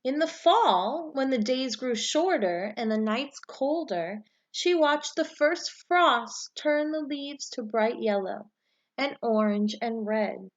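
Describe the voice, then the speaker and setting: Higher-voiced woman teacher reading aloud, slightly dull sound. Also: animated, slow. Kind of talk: storybook read-aloud with instructions to pupils.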